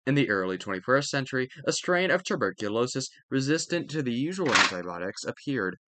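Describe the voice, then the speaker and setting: A man with a medium-pitched voice reads study material aloud. The sound is dry, with no room echo. His delivery is careful and instructional, with an occasional stumble that he corrects. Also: sing-song, fairly quick, sharp tone. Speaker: narrator reading a history textbook aloud. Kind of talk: read-aloud educational narration.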